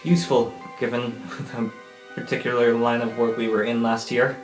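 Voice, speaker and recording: A man's voice: mid-range voice, level tone, normal speed. A man playing a tabletop game, improvising in a conversational way. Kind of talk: improvised in-character game talk. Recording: roomy echo, faint music.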